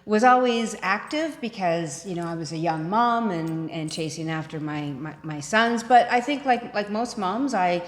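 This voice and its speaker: Female co-host, 50s, lower register, casual conversational delivery, strongly echoey recording. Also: animated, moderate pace, hardly any pauses. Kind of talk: casual chat. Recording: echoey room with long reverb.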